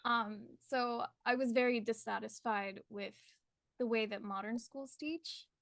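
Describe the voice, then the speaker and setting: A woman's voice: fairly high pitch, fairly even intonation, a little slow, vocal fry, fairly bright tone, no room sound, clean studio sound. Young woman with an American accent. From Colombia. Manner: friendly, laughs easily. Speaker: artist guest. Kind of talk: casual interview chat. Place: her art studio.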